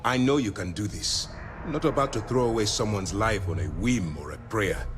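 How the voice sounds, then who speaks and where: An actor playing a stern, measured military commander in a video game, speaking with grave, deliberate authority. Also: male voice, lowish voice, natural voice. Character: stern, measured male military commander briefing an undercover operative. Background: background music.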